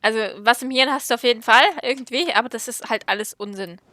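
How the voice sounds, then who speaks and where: Girl doing casual let's play reactions, high voice, teasing, really dry close sound. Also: fast talker, bright, forceful tone, no echo. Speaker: gaming video host.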